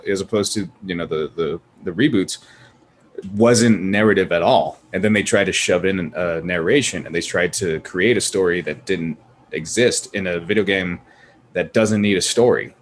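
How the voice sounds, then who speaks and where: Low-pitched male panelist, jokey and casual in group talk, some noise behind him. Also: normal speed. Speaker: podcast panelist. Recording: clear background noise.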